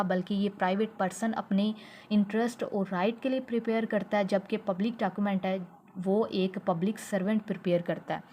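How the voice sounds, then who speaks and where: A woman with a Pakistani accent giving an explanatory law lecture, slowing down to read English definitions and then explaining them plainly. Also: mid-range voice, darkish tone, slight background noise. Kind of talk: explanatory lecture mixing in English legal terms.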